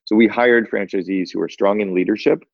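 Deep gravelly fast-talking male host. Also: no echo, dull audio. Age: 50s. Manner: upbeat, talkative, quick to agree. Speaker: podcast host. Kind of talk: casual interview chat.

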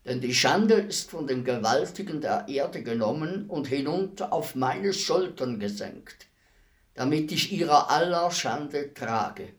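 Slow, grave, philosophical executioner. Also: male voice, fairly high pitch, animated. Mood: brooding, bitter. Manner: measured, grave, deliberate declamation. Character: despised executioner, calm, philosophical killer addressing his victim. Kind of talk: solemn radio play monologue.

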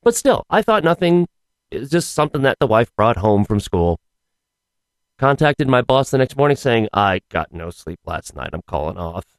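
A hoarse man hosts his podcast in a rambling, wry way, his pitch swinging up and down lively. The sound is close and dry, with no room around it. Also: normal speed, no room sound, rough recording. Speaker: podcast host. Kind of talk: casual solo podcast chat.

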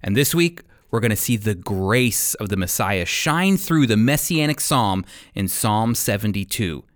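A man's voice: fairly low pitch, animated, unhurried. A man hosting and teaching a Bible radio program in an explanatory, emphatic way, working from a script.

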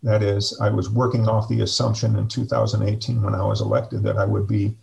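A middle-aged man speaking slowly and reflectively as a guest on a video call, with frequent fillers. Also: lowish voice, flat delivery, gravelly, a little mellow, lo-fi sound, slight background noise. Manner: slow, measured, hesitant with many fillers. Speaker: interview guest, district superintendent. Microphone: video call webcam.

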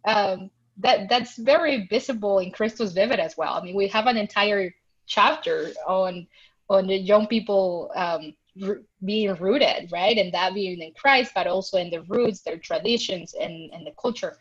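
A woman's voice: medium pitch, measured pace, breathy edge. A woman from Mexico with a Mexican accent, a podcast guest earnestly recounting a personal experience over a video call. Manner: earnest, thoughtful, recounts with emphasis. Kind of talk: reflective personal storytelling. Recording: muffled lo-fi video call audio.

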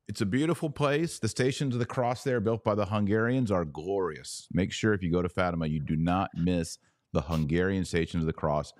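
A man's voice: low voice, darkish tone, no echo. A man hosting a podcast in casual, conversational commentary with a blunt, opinionated way of talking. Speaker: podcast host.

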